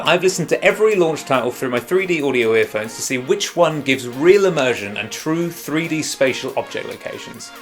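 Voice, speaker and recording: A man narrating a scripted video game countdown as a reviewer, with an emphatic presenter's delivery. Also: mid-range voice. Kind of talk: scripted narration of a top five games list.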